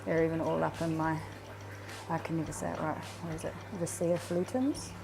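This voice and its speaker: A woman's voice: low-pitched, little pitch movement, moderate pace, vocal fry, background noise. A woman with a New Zealand accent, chatting casually as she films her home fish room, rambling with plenty of ums and restarts. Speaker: fishkeeping vlogger. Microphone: handheld camera.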